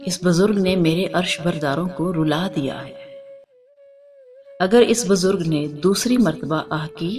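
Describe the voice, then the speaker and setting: A woman narrates a scripted moral story with a religious theme. Her voice sits on the low side for a woman. Music plays clearly underneath her reading.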